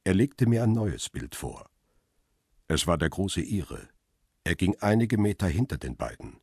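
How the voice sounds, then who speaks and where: A middle-aged, world-weary photographer narrating a radio crime thriller in the first person. The voice is very deep and rough-edged, closely and dryly recorded, with a sober, laconic delivery. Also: male voice, sing-song, unhurried, a little mellow, no echo, studio quality, full character voice. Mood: tense, watchful.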